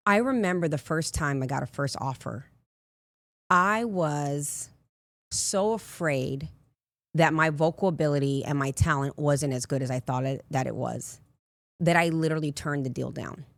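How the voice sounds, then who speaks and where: A raspy woman in her forties, a life coach telling candid personal stories in a casual interview. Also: low-pitched, normal speed, no room sound. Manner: candid, rambling storyteller with frequent asides. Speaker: life coach, podcast guest.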